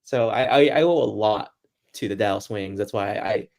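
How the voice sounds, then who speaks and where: A man's voice: on the low side, normal speed, a little mellow. A man from Boston with an American accent, chatting casually and with self-deprecation as a guest sports journalist. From Boston, United States. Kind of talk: casual livestream chat.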